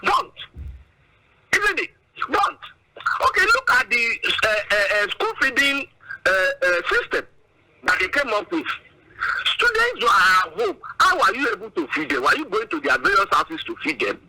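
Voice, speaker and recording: High-pitched, indignant Nigerian man. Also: huge pitch swings, bright, forceful tone, low-quality recording. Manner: emphatic, indignant, rhetorical questioning, drawn-out big words. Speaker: guest analyst. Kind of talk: broadcast political commentary.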